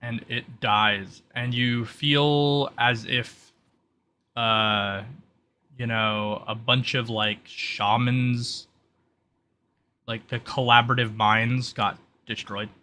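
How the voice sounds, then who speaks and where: Bright, forceful male game master. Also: mid-range voice, steady tone, slow pace, slight background noise. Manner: rambling, informal, thinking aloud. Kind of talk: running a tabletop roleplay game, casual explaining.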